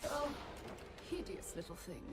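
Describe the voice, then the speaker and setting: An elegant, deadly female agent from a video game. She speaks in clipped, haughty phrases with cold contempt, at a medium pitch, while music plays clearly behind her. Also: very animated, measured pace. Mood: haughty disdain. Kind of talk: scripted video game character lines.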